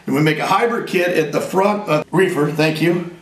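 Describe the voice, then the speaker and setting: A man in his forties with a raspy voice, an inventor talking in a casual, rambling and earnest way, sometimes joking about himself. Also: fairly high pitch, moderate pace. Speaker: inventor and veteran interviewee. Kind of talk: casual interview talk. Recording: roomy echo, from a distance.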